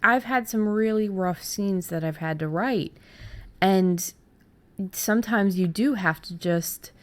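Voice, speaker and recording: A woman hosting a conversational podcast about writing, halting a little and repeating words as she forms her thoughts. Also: medium pitch. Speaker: podcast host and writer.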